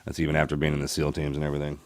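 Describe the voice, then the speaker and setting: A man's voice: very deep voice, completely flat delivery. A man hosting a podcast interview, chatting loosely and often calling his guest "man". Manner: fast, loose, says "man" a lot. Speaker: podcast host. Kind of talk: casual interview talk.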